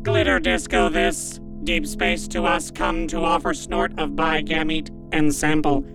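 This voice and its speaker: An actor as an alien starship commander in an audio comedy, intoning garbled, formal English. The delivery is slow and monotone, with loud music underneath. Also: mid-range voice, lightly acted, rough recording. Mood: stately, lewd. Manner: slow, formal, oddly scrambled word order. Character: alien spaceship commander speaking garbled, lewd broken English. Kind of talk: comedy audio drama acting.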